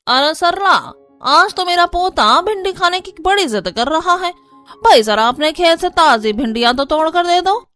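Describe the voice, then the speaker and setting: A woman's voice: very high voice, expressive, moderate pace, fairly bright tone, faint music behind. A woman voicing a middle-aged village neighbour in a cartoon, nosy and mocking, with a scolding, exclamatory way of talking. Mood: demanding. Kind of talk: animated Hindi cartoon story acting.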